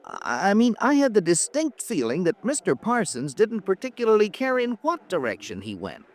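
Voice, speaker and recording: An actor playing a polite, mild bank teller in an old-time radio drama, halting, apologetic and unsure. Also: male voice, high-pitched, huge pitch swings, noisy background. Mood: musing.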